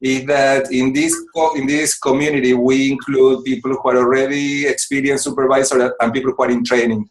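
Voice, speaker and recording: A man's voice: mid-range voice, little pitch movement, slight background noise, rough recording. Argentine man hosting a video call. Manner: friendly, halting, frequent restarts. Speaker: host of a supervisors' network call. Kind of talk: casual group video call.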